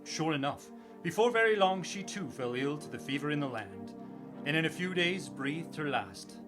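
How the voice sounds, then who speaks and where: Radio drama sailor hero, male actor: higher male voice, dramatic storyteller, formal phrasing, score underneath. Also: animated, moderate pace, music in the background, lightly acted. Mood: grave narrating. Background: musical score.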